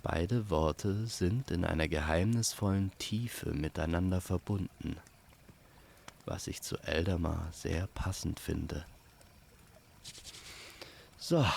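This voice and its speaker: Slow, low-voiced male fantasy storyteller. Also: gravelly, slight background noise. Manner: calm, poetic, unhurried. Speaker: storyteller and host. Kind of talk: scripted fantasy audio-play narration.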